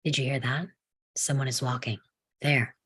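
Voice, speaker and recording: An actor playing a calm, teasing FBI agent who believes in ghosts, with an understated, dry delivery at a script table read. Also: male voice, medium pitch, normal speed, husky. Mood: hushed intrigue.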